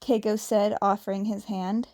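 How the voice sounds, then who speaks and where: A woman reading a fanfiction story aloud, slowly and haltingly, with some restarts, and she raises her pitch when she voices the characters. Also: flat delivery, darkish tone. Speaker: fanfiction reader.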